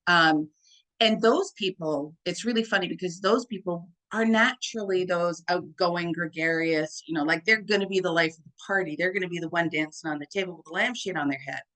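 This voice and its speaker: A chatty woman with a deep, full voice, sitting somewhat low in her register. She speaks as a hypnotherapist guest in a relaxed interview and keeps dropping in "like" and "right?" as she goes. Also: fairly quick, low-quality recording. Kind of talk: casual conversation.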